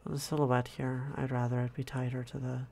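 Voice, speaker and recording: A man quietly narrating his own drawing process, in a soft-spoken, calm way, thinking out loud. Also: mid-range voice, a little fast, gravelly. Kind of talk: casual relaxed commentary over drawing.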